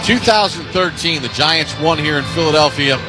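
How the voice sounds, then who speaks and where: A man's voice: a little high, lively intonation, moderate pace, music in the background, low-quality recording. A man working as a radio sports announcer, giving descriptive play-by-play in clipped phrases with scripted sponsor reads. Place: stadium broadcast booth.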